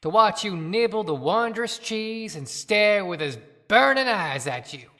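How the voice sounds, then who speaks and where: A woman's voice: mid-range voice, animated, pushed and bright. A woman playing a bitter, haunted dead man, delivering a dramatic poetic monologue in an audio play. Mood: bitter, sardonic. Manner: dramatic, declamatory, deliberate. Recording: long hall reverb.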